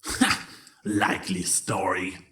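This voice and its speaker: A man's voice: low-pitched, little pitch movement, sharp tone, full character voice. A man voicing a smug male changeling who taunts and accuses with theatrical, mocking drawl in a comedy audio story. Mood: scornful. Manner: smirking, mocking, drawn-out.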